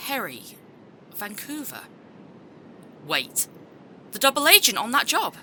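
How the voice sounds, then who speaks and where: Forceful, bright-voiced brash woman agent. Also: on the high side, huge pitch swings, unhurried, slight background noise, full character voice. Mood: guarded, friendly. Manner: blunt, challenging. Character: brash, sharp-tongued female agent, girlfriend on a call. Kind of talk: audio drama acting.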